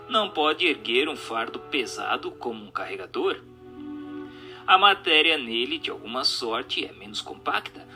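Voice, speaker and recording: Bright, forceful Brazilian male narrator over music. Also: medium pitch. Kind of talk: dramatized reading of a spiritual text.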